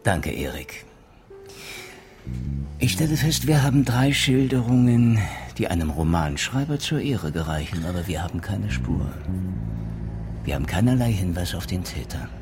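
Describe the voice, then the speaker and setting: Deep-voiced, measured police inspector. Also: male voice, sing-song. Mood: sober, stern. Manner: formal, measured, dryly authoritative. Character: male police inspector, dry, courteous, firmly in charge. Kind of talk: German radio crime drama acting. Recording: music behind the voice.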